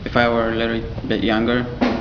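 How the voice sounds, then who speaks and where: A teenage boy from Ethiopia whose first language is Amharic, giving brief, halting answers as a student in an interview. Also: medium pitch, completely flat delivery, music in the background. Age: teens. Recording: dull lo-fi recording with audible noise.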